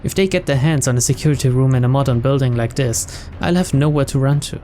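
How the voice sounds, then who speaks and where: A man's voice: medium pitch, fast, dark tone, faint music behind. A man playing through a visual novel, reading its narration aloud in English as a storyteller. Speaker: video game let's player.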